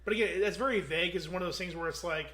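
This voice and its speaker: A man with a fairly high, bright and forceful voice talks quickly in a relaxed podcast chat. He is opinionated and blunt, and he often trips over his words, repeating them before finishing a point. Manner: opinionated, fast, stumbling restarts. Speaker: podcast co-host. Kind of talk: casual movie review chat.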